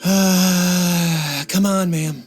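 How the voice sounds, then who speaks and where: An actor playing a greedy, bullying street thug boss with a smarmy, pressuring manner in an English game dub. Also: male voice, sits high, fairly even intonation, drawn-out words, faint music behind. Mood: smarmy, menacing. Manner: smarmy, pressuring, stammers when cornered.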